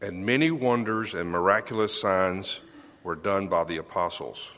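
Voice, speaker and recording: A man reading a scripture passage aloud in a slow, measured way. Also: deep voice, fairly bright tone. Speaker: scripture reader. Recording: church hall reverb.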